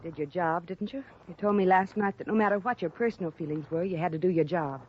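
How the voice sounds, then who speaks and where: A woman's voice: fairly low pitch, fast talker, lightly acted. A woman playing a troubled young suspect with an American accent, defensive and pleading in a melodramatic, wounded way, in 1950s radio drama style. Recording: muffled, hissy vintage radio recording.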